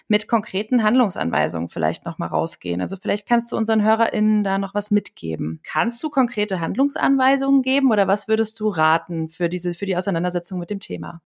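A woman's voice: mid-range voice, creaky. A woman hosting a podcast, moderating an interview in a conversational way and sometimes searching for words. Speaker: podcast host.

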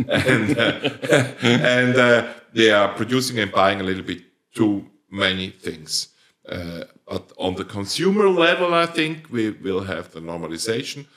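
Very low-voiced Swiss man, hesitant. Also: lively intonation, unhurried, a bit bright. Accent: Swiss German. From Switzerland. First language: Swiss German. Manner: hesitant, searching for words, many fillers and restarts. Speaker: plant producer, company owner. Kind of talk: interview answers.

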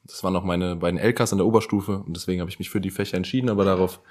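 Deep-voiced twenty-year-old man. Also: fairly even intonation, rapid-fire, a little mellow. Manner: rambling, repeats words, many fillers. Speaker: student teacher. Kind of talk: casual interview answers.

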